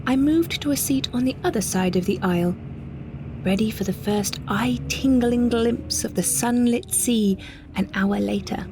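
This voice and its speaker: A British woman reads a scripted first-person story with measured, expressive phrasing and careful delivery. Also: fairly high pitch, background noise. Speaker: narrator, solo performer of an audio story.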